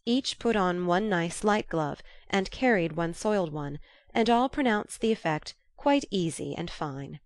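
Woman narrating, lowish voice, close dry sound. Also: lively intonation, unhurried, no echo. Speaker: audiobook narrator. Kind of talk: scripted literary narration.

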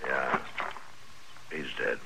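An actor playing a stoic, world-weary American frontier marshal in a 1950s radio western, terse, steady and understated. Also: male voice, extremely low pitch, very slow, vocal fry, heavily acted. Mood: terse, matter-of-fact. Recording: old radio recording with faint noise.

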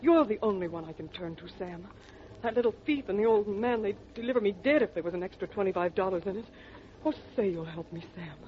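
Female actor as young melodramatic femme fatale, breathless, pleading, mid-pitched, muffled old radio recording. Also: expressive, fast, slight background noise, natural voice. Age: young adult. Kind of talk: 1940s radio melodrama acting.

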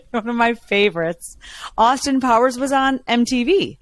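A woman with a low voice co-hosting a podcast, telling stories in a lively, chatty way. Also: unhurried, no echo. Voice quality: lower voice. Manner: chatty, animated storytelling. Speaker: podcast co-host. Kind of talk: casual chat.

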